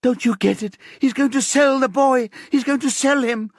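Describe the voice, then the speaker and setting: Desperate teenage girl suspect for a thriller audiobook, played by a male reader: hostile, breathless, hoarse, pitched well up. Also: normal speed, no room sound, clean studio sound. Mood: panicked. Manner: breathless, swearing outbursts.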